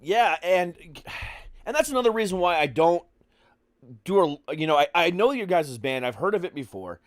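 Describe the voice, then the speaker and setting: A man's voice: high-pitched, big pitch swings, moderate pace, no room sound. A man hosting a podcast, chatting informally in a casual interview style and swearing freely. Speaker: podcast host. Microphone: video call.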